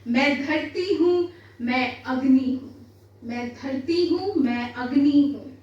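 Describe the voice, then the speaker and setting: A woman's voice: high voice, slow, darkish tone, highs cut off. A woman reciting poetry in a declamatory manner, repeating lines for emphasis. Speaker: poet reciting own poem. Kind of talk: Hindi poetry recitation before listeners. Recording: roomy echo, distant mic, faint noise.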